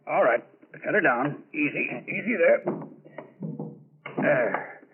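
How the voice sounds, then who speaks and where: Ruthless safecracker boss, husky voice, terse orders, old tinny radio crime show. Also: male voice, a little high, animated, slow pace, exaggerated voice. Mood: urgent, bossy. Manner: terse, bossy, commanding. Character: cool, ruthless male safecracker gang leader, adult. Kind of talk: old-time radio crime drama acting. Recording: muffled, tinny vintage radio recording.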